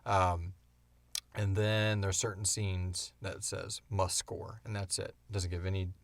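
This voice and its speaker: A man in his thirties hosting a casual chat about making an audio show, animated and informal as he tells stories and answers questions. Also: sits low, a little slow, no room sound. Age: 30s. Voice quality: wheezy breathing. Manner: animated storyteller, acts out sounds and swears casually. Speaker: podcast host and audio drama creator.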